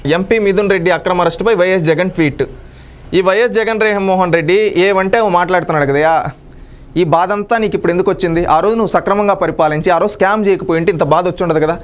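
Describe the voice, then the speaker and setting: High pitched guy ranting politics, talks fast, scolding, firing questions at opponents. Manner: accusatory, scolding, rhetorical questions. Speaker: political commentator. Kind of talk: unscripted political commentary addressed at opponents.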